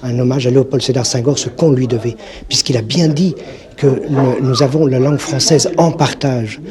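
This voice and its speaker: A man's voice: mid-range voice, steady tone, moderate pace. A male trade unionist giving a press conference speech, hesitant and full of fillers. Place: press conference in a crowded room. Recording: crowd noise behind.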